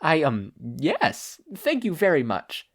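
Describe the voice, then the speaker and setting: A man puts on a kindly mother for a story reading. The voice is raised and varied in pitch, modest and polite and a little flustered. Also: wildly swooping pitch, slow pace, heavily acted.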